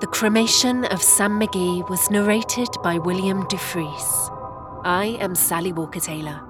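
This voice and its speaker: Slow, measured woman announcer. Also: fairly low pitch, over music. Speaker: announcer reading credits. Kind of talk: scripted radio announcement.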